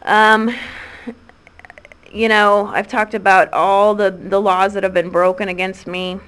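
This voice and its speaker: A woman's voice: mid-range voice, little pitch movement, measured pace, fairly bright tone, smooth. A woman in her thirties with a Midwestern American accent, leaving a rambling recorded voice message with many ums and restarts. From Midwestern United States. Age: 30s.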